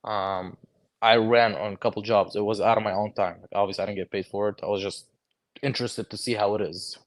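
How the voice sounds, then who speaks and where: Young man from Lebanon, low voice, laid-back storyteller, casual talk with lots of "bro". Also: brisk. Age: 20s. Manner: relaxed storytelling, lots of "bro" and "like", acts out quoted conversations. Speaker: guest, HVAC technician. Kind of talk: casual podcast chat.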